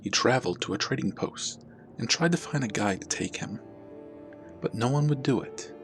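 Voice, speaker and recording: A man narrating a scripted horror story as a storyteller, in a measured, dramatic way. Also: medium pitch, expressive, gravelly, over music. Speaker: storyteller, channel host.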